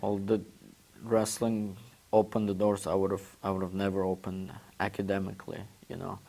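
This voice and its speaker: Deep gravelly young man, halting English. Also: completely flat delivery, dark tone, low-quality recording. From Uzbekistan. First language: Turkish. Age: 20s. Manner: halting, repeats words while searching for phrasing. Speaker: guest, former student athlete. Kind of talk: casual interview answers.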